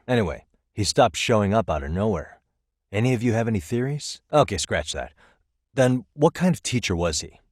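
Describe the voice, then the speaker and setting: A man's voice: lowish voice, no room sound, clean studio sound. A man voicing a wry, laid-back yet firm private detective in casual, conversational English game dialogue. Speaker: video game voice actor.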